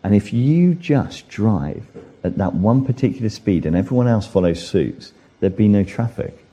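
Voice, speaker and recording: A man's voice: fairly low pitch, expressive, moderate pace, dark tone. A man preaching a sermon in an earnest way, lifting his voice for emphasis and acting out imagined inner voices. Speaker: pastor.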